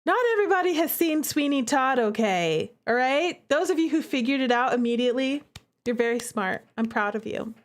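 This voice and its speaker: Woman, high-pitched, animated, casual livestream commentary, chatty asides, theatrical delivery. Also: a little fast. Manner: animated, theatrical, acts out reactions; conversational asides to chat. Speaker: game streamer and developer.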